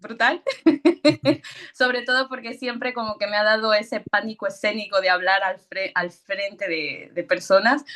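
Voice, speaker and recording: Slow, nervous woman from Ecuador. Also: medium pitch. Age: 30s. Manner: hesitant, nervous, with frequent fillers and soft laughs. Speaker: guest speaker. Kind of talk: personal story talk.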